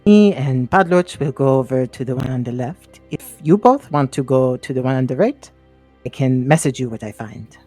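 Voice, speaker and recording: A man voices a dependable fantasy adventurer in a tabletop game. The voice is mid-pitched and measured, with music behind it. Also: expressive, warm tone, lightly acted. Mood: calm, concerned. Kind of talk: tabletop roleplay.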